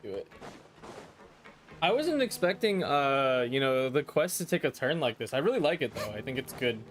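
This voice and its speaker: A man's voice: mid-range voice, big pitch swings, moderate pace. A young adult man giving casual, slangy, offhand commentary as he live-streams a video game. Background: game music.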